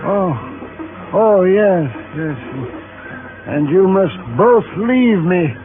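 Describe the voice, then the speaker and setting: High-voiced, nervous old scientist. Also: male voice, animated, music in the background, heavily acted. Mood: anxious, distracted. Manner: halting, repeats his words. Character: nervous, secretive old male scientist, fragile and distracted. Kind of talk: 1950s radio drama acting. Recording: muffled 1950s radio recording.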